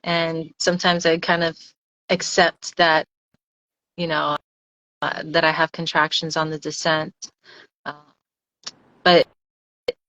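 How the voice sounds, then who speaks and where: A woman, a freediver guest on a casual podcast interview, explaining things thoughtfully and haltingly, often repeating words. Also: low voice, monotone, fairly bright tone, dry recording, muffled recording.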